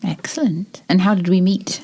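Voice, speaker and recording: A woman co-hosting a podcast in a studio, reading a guest introduction and chatting briskly. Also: fairly low pitch, a little mellow, a little hiss or hum. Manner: brisk, reads the guest bio then chats. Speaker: podcast co-host.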